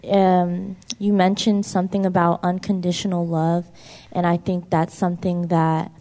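Hesitant young woman with a mellow voice. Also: lowish voice. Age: young adult. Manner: hesitant, full of "like" fillers. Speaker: church member. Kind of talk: sharing in group discussion.

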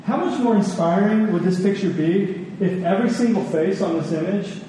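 Male preacher, slightly high voice, conversational yet emphatic, heard at a distance in a reverberant hall. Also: mellow. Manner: conversational, with emphatic repetition and pauses. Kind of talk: sermon with personal stories. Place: large reverberant room with an audience. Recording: echoey hall, distant, faint noise.

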